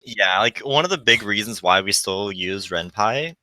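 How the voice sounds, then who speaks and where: A man's voice: on the low side, lively intonation, normal speed, pushed and bright, dry recording. A man in his twenties chatting casually as a guest game developer about his work. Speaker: visual novel developer, guest.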